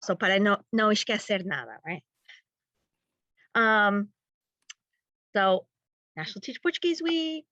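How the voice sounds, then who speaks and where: Halting middle-aged woman, muffled audio. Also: medium pitch, big pitch swings, sharp tone, no echo. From Massachusetts, United States. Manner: halting, mixes in English words. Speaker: high school language teacher presenting. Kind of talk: informal webinar presentation. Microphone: video call.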